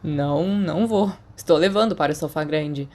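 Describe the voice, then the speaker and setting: A woman's voice: sits low, moderate pace, a little mellow, slight background noise, natural voice. A Brazilian woman voicing a studious, reserved teenage boy wizard in a story, wry and matter-of-fact.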